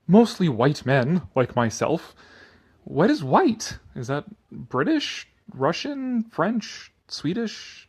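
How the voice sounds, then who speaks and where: A man giving a sardonic commentary and theatrical reading of an article, drifting into rambling asides. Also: a little high.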